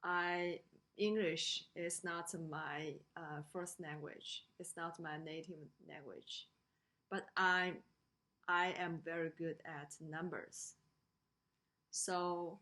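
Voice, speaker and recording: Female lecturer, Chinese accent, a little low in pitch, very unhurried and halting delivery, talking through slides. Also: fairly bright tone. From China. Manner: halting, with frequent pauses and hesitations. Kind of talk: slide lecture on design usability.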